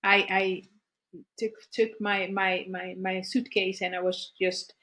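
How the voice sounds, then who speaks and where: A middle-aged woman telling her own story at an even, mid-range pitch. She speaks haltingly, circling back and repeating words as she feels her way toward what she means. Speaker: guest therapist. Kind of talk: personal story in conversation.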